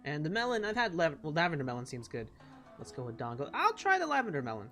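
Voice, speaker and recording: Fast-talking game streamer, sex unclear. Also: medium pitch, wildly swooping pitch, bright, forceful tone. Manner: rambling, reacting aloud. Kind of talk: casual game playthrough commentary. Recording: game music behind.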